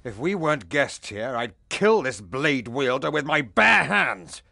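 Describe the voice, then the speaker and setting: A man's voice: mid-range voice, very animated, a little slow, creaky, sharp tone, no echo, full character voice. An actor playing a proud, hot-tempered old father and clan leader in a fantasy game, speaking formally and emphatically. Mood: indignant.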